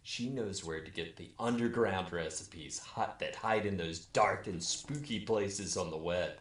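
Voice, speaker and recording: A man's voice: low-pitched, a little slow. A man telling a scripted comic story in the first person, with a mock-formal bedtime storyteller delivery. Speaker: storyteller, webcast regular. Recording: roomy, echoey voice recording.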